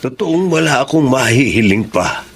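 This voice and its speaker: A man's voice: medium pitch, expressive, normal speed, lightly acted. A man with a Filipino accent acting a devoted middle-aged husband and father in a radio drama, earnest and sentimental. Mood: tender, grateful.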